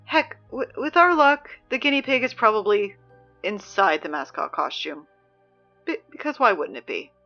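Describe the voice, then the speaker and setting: A woman voices a flustered teenage girl doing the school announcements in a comedy audio drama. Her bright, lively voice swings widely and breaks into sarcastic outbursts. The audio sounds slightly muffled. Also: mid-range voice, moderate pace, soft background music. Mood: exasperated.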